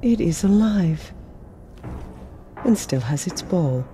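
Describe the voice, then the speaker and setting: A woman's voice: on the low side, expressive, takes it slowly, mellow, soft background music. A woman playing a regal, imperious queen in a game, formal and aloof. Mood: aloof, probing. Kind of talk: scripted game dialogue.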